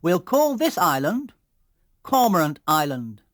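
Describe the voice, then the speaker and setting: A young boy captain played by a male storyteller, commanding yet cautious, speaking deliberately with very animated pitch lifted above the narration. The recording is dry and close, in the style of a children's audiobook. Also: dry recording, exaggerated voice. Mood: decisive.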